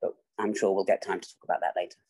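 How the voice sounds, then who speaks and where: A man introducing his work on an online panel in a deliberate way, repeating phrases for emphasis. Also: low voice, flat delivery, a little mellow, slightly rough. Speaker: panelist, artist-activist organiser. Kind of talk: considered self-introduction at a panel discussion. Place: online video call.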